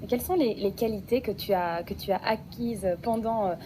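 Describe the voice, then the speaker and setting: A woman radio host asks her guests measured questions, now and then restarting a phrase. Her voice sits mid-range. Steady background noise runs under her. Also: medium pitch.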